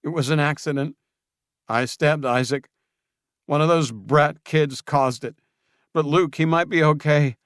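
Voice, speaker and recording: A man's voice: fairly high pitch, steady tone, measured pace, long pauses, clean tone, dry recording, studio quality, pitched above the performer's own voice, lightly acted. A man voicing a shifty, panicking young traitor. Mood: defensive, pleading. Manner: pleading, defensive, agitated. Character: cornered young male traitor, defensive and shifty.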